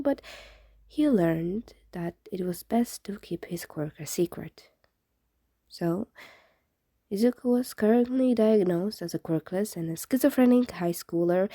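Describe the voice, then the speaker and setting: A woman's voice: fairly low pitch, animated, a little slow, dark tone, no room sound, clean studio sound. A woman reading fan fiction aloud as scripted narration, in the style of an audiobook. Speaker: fan fiction podfic reader.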